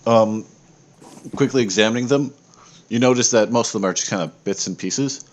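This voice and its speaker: A man acting as game master, narrating a tabletop game casually with halting, improvised explanations. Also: on the low side.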